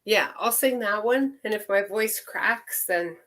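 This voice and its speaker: A woman with a raspy, hoarse voice from a cold, chatting slowly and chuckling while leading a drumming circle on a video call. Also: medium pitch, a bit bright. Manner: slow, chuckling, unhurried. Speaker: drumming circle song leader. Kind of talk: casual chat and hand-drum singing. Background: hand drum.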